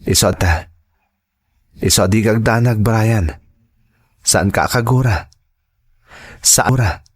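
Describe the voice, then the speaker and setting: A man acting a calm, reassuring young Filipino elder brother and grandson in an audio drama, earnest and measured. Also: on the low side, slightly rough. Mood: gentle, brotherly.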